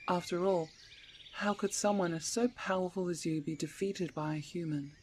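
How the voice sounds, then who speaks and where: A woman voicing a calm, regal, motherly bear queen with a measured, formal, reassuring delivery in a fantasy ASMR roleplay. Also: lowish voice, a little hiss or hum, natural voice. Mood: grave, measured.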